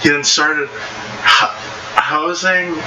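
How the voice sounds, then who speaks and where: A man's voice: fairly high pitch, animated, drawn-out words. A man casually reading online stories aloud, stumbling over words at times and adding blunt, slangy commentary, with noisy background ambience. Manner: blunt, slangy, informal reactions. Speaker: online story reader and commentator.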